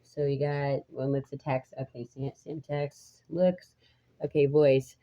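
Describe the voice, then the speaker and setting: Woman, raspy, mellow low voice, halting vlog chatter, 30s. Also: little pitch movement, muffled recording. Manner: halting, restarts often, laughs between phrases. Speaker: vlogger. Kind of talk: rambling casual vlog chat. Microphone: phone.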